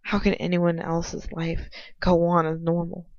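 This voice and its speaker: A woman reads fan fiction aloud in the first person as an amateur narrator, halting now and then to reread a phrase. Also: fairly low pitch, little pitch movement, a little mellow, dry recording. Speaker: amateur audiobook reader. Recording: dull lo-fi home recording.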